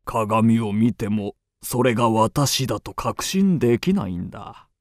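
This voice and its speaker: A man acting a suave, boastful gentleman thief in a radio drama, theatrical and grandiose. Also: fairly low pitch, animated, a little slow. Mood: proud, musing.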